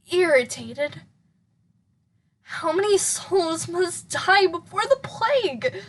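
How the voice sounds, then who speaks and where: A high-voiced, earnest female dragon from an audio drama , played by a woman. She is gentle at heart and speaks with swooping emphasis. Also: exaggerated voice. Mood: soothing, earnest.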